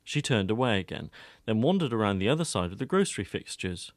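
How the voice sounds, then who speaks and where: A man's voice: lowish voice, sing-song, fast talker, no echo, studio quality. A man reading scripted narration for an audiobook drama as its storyteller.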